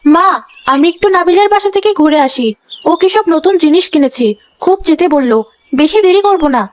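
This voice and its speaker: A woman's voice: very high voice, a little fast. A woman voicing a clever, mischievous young daughter-in-law in a comedy cartoon, polite and composed. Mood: polite, reassuring. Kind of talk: Bengali cartoon comedy acting.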